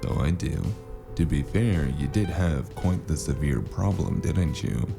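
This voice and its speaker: A man narrating a noir audio drama as the lead, dry and wry, with a deadpan manner, over a music score. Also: very deep voice, measured pace, creaky. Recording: music score playing underneath.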